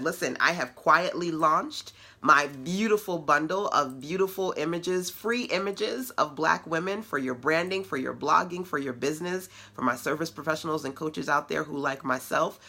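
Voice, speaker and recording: A middle-aged woman with a deep but bright, forceful voice teaches a live web class. She talks to her audience in a conversational, encouraging way and tosses in playful asides. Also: moderate pace, hardly any pauses. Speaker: web class host and business coach. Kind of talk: casual teaching to an online audience.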